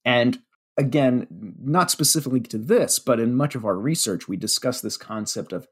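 A man with a slightly husky voice and a Pennsylvania Dutch accent chats about research in a relaxed, informal way. His pitch moves around a lot as he talks. He fills pauses with little "uh"s and pokes fun at himself. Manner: chatty, with fillers and self-deprecating jokes. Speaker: media relations director. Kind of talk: casual podcast chat.

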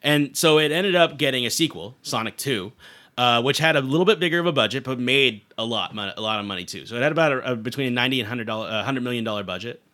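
A man's voice: mid-range voice, fast, bright, forceful tone. A man hosting a podcast with casual, enthusiastic, informal movie talk. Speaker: podcast host.

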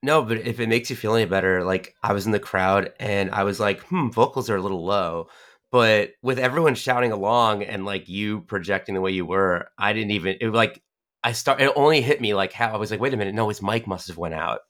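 A man hosting an interview, chatting in a relaxed, enthusiastic way and often saying "like". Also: on the low side, brisk. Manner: enthusiastic, chatty, uses "like" a lot. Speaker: podcast host. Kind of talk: casual interview chat.

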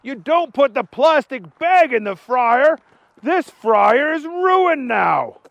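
A stern, exasperated middle-aged fast-food manager who scolds in a measured, slow way, as a man plays him in a storybook. His voice sits fairly high and the recording is dry. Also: sing-song, vocal fry, fairly bright tone, dry recording, highs cut off, exaggerated voice.

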